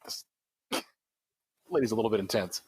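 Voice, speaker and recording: Low husky man, casual gamer. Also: lively intonation, moderate pace, a little mellow, dry recording. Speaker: player in a tabletop role-playing game. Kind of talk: casual improvised game narration.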